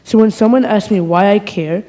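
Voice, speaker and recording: A thirteen-year-old boy from the American Midwest reading an earnest prepared speech a little haltingly. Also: high-pitched, mellow. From small town in Iowa, USA. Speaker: student speaker at a public meeting. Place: school board meeting room.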